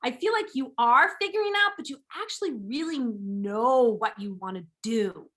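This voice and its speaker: High bright voiced woman in her 40s, gushy podcast host, says stuff twice for emphasis. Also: normal speed. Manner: gushing, enthusiastic, repeats words for emphasis. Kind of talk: upbeat interview chat.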